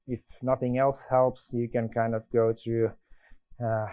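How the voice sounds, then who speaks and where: A man from Finland with a Finnish accent, presenting a live coding demo at a conference, talking in halting phrases full of restarts and fillers. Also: mid-range voice, warm tone, no echo. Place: conference room.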